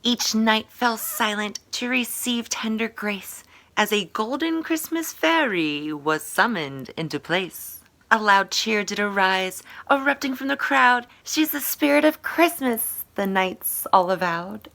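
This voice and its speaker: A woman's voice: medium pitch, expressive, measured pace, pushed and bright. Woman storyteller with theatrical delivery. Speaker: author promoting and reading own book. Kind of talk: storybook read-aloud and promotional talk.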